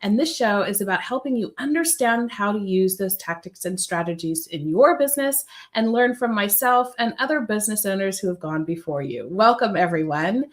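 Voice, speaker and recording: A woman's voice: mid-range voice, lively intonation, normal speed, few pauses. Female host, upbeat and welcoming, conversational live interview delivery. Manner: upbeat, welcoming, brief acknowledgments. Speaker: podcast host.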